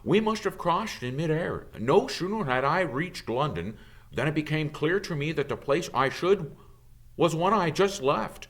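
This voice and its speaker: A man voicing a kindly, calm old wizard headmaster in an audiobook, musing and hesitating as he gently reassures. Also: on the high side, measured pace, voice pushed up. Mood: gentle, grave.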